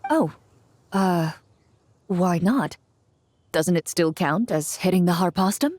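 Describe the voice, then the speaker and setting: A woman's voice: on the low side, expressive, slow pace, a little mellow, no room sound. A woman voicing a composed young champion duelist in a video game, formal and polite. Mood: curious.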